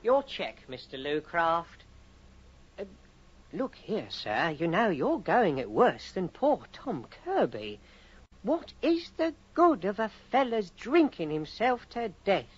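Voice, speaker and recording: Gossipy clerk, muffled old recording. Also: male voice, sits high, very animated, takes it slowly, husky, full character voice. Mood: blunt concern. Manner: chatty, officious. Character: gossipy, officious male solicitor's clerk, blunt but pitying. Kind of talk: radio horror drama acting. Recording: dull, lo-fi vintage radio recording.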